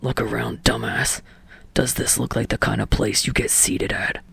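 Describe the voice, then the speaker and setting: A woman voicing a gruff, irritable young man in an audiobook story, curt and scornful, in a husky low mutter. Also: steady tone, normal speed, fairly bright tone, no room sound, in a whisper, studio quality, exaggerated voice. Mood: irritated.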